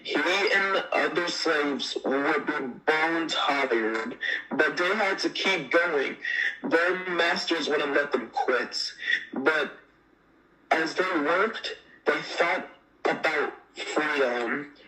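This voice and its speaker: A man's voice: fairly high pitch, very slow, pushed and bright, soft background music, rough recording. A man reading a children's picture book aloud as a storyteller.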